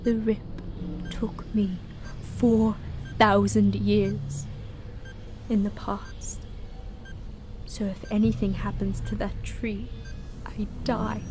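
An imperious, ancient Mother Superior villainess acted by a woman in an audio drama. She speaks very slowly and deliberately, often dropping into breathy near-whispers. Faint music plays underneath. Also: medium pitch, little pitch movement, close to an everyday voice. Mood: grave, haunted.

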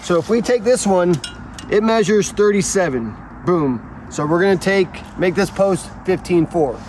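A man's voice: high voice, expressive, moderate pace, a little mellow. A middle-aged man explaining construction steps casually, with slangy, joking banter, outdoors on a building site. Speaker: builder and video co-host. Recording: noisy outdoor site sound.

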